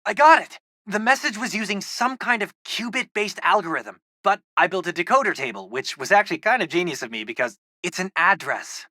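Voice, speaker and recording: A man with an American accent voicing a geeky, excitable teenage boy, a loyal superhero's tech sidekick in a video game, talking informally and eagerly. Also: sits high, fairly quick, no echo. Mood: proud, eager. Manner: informal, eager, nerdy tech talk with quips. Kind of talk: video game voice acting, casual radio-style chatter.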